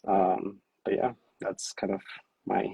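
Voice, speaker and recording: A man with a low, husky voice speaks over a livestream video call. His delivery is fairly monotone and hesitant, full of ums and restarts. The sound is dry and close, with almost no room in it. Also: takes it slowly, no echo, lo-fi sound. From California. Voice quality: self-described monotone. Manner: hesitant, many fillers, self-described awkward and anxious. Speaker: musician on a livestream chat. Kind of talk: casual livestream chat.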